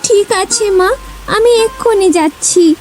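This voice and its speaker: A woman plays an earnest young village daughter in a cartoon, with an extremely high, plaintive voice. She speaks slowly and deliberately, with music playing clearly behind her. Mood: dutiful. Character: earnest hardworking twenty-year-old village daughter. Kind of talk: animated cartoon story dialogue.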